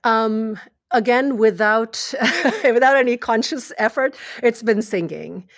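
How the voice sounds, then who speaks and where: A middle-aged woman talking casually as an interview guest, rambling with restarts and fillers, her voice trailing off softly at the ends of thoughts. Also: fairly high pitch, expressive, slow. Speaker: podcast guest, engineering leader.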